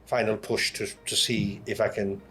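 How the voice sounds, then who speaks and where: A man's voice: fairly low pitch, little pitch movement, moderate pace, very noisy. A man from Leeds with a Yorkshire accent, talking casually as an interview guest. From Leeds, Yorkshire, England. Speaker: podcast guest, online content creator. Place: in a pub over a meal.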